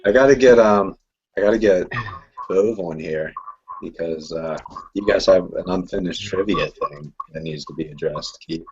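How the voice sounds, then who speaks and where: Very deep-voiced New Jersey man. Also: moderate pace, darkish tone, highs cut off, slight background noise. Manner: rambling, laid-back, many fillers. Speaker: co-host. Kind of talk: casual sports chat.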